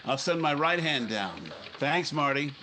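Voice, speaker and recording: A man's voice: fairly high pitch, very animated, a little slow, noisy background. A man playing a cynical, hard-boiled newspaper editor in a 1950s-style radio drama, clipped and matter-of-fact. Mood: wary, businesslike. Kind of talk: 1950s radio drama acting and first-person narration.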